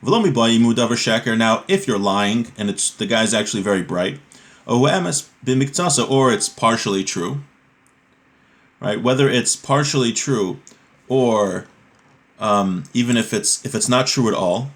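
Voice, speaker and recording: A man's voice: mid-range voice, lively intonation, a little slow, a bit bright. Man teaching a religious text. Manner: explanatory, checks understanding with rhetorical tags like "right?". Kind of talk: informal religious lesson, reading a text aloud then translating and explaining.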